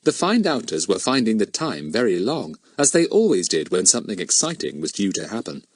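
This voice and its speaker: A man narrating a children's story from a script in an expressive, animated way. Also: fairly low pitch, fairly quick, husky, no echo. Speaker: audiobook narrator.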